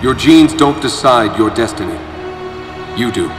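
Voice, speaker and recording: A man playing an earnest heroic leader, giving a plain-spoken, measured speech of appeal. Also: mid-range voice, lively intonation, recorded from a distance, close to an everyday voice. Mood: earnest, resolute. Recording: echoey rally with crowd and music.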